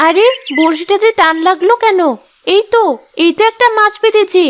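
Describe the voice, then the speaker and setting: A woman voicing a feisty, sharp-tongued, proud village wife in a cartoon, with a scolding, emphatic manner. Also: extremely high pitch, normal speed, slight background noise. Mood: grumbling. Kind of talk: Bengali cartoon dialogue.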